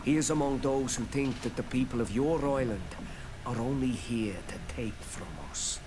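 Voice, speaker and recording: A man voicing a proud native island village chief, a measured negotiator in a video game. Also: medium pitch, close to an everyday voice. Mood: grave, diplomatic. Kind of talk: video game dialogue acting. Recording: noisy game capture.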